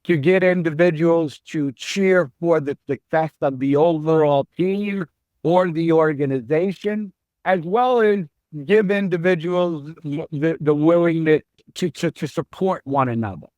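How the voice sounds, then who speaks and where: A middle-aged man, a chief executive, answering interview questions in a slow, measured and reflective way. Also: on the high side, a little mellow, dry recording, dull audio. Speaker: CEO guest.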